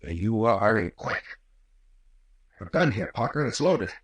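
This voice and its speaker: An actor playing a bold, shrewd captain, mocking and self-assured, in 1940s radio mystery style. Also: male voice, on the low side, sing-song, slow, muffled recording. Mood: taunting. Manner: commanding, taunting, self-assured. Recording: echoey old-time radio recording.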